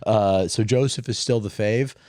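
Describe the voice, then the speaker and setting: A man with a fairly low voice co-hosts a podcast in relaxed, wandering chat. He circles his points with lots of "like"s and restarts sentences. The sound is dry and close. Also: moderate pace, no room sound. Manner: rambling, with many "like"s and trailing restarts. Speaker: podcast co-host. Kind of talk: casual chat about Bible stories.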